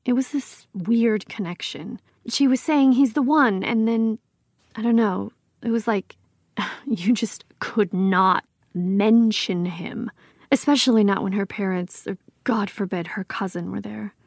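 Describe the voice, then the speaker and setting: A woman's voice: medium pitch, measured pace, no echo, clean studio sound. An actor playing an insistent, aggrieved young woman being interviewed about her best friend in an audio drama, conversational and emphatic. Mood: wistful, candid.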